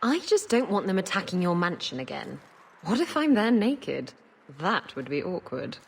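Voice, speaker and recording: A woman's voice: on the low side, sing-song, normal speed, vocal fry, slight background noise. A woman playing a dry, blunt companion character in a fantasy video game. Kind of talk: video game cutscene acting.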